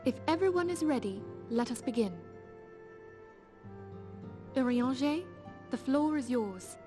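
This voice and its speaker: High-voiced, assured scholarly leader, music behind. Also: female voice, expressive, unhurried. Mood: businesslike. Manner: formal, explanatory, assured. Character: composed, authoritative female leader of scholarly order. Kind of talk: theatrical fantasy game dialogue. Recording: loud music under the voice.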